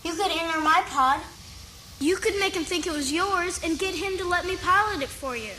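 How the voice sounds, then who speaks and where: A man's voice: very high-pitched, normal speed, pushed and bright, slight background noise, heavily acted. A young boy actor playing a friendly, eager slave boy in a space adventure film. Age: child.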